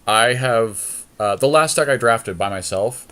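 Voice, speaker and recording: A man's voice: mid-range voice, lively intonation, a little slow, a bit bright, smooth. A man co-hosting a podcast, thinking aloud in casual, slangy commentary as he plays a card game draft. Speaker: podcast co-host.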